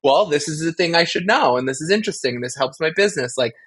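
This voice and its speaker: A man's voice: fairly high pitch, lively intonation, fast talker, a bit bright, husky. A man co-hosting a casual fan podcast, speaking in an opinionated, analytical way with casual swearing. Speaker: podcast co-host discussing a TV franchise.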